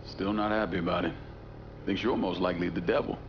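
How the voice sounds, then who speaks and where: A man playing a grim, stoic young war veteran turned crime boss in a video game, speaking tersely and low-key. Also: fairly even intonation, brisk, lo-fi sound, noisy background, close to an everyday voice. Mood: guarded. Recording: music behind the voice.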